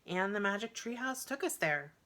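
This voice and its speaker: A woman's voice: mid-range voice, lively intonation, moderate pace, pushed and bright, voice pushed up. A woman voicing a plucky, matter-of-fact young girl in a children's storybook, breezy and emphatic.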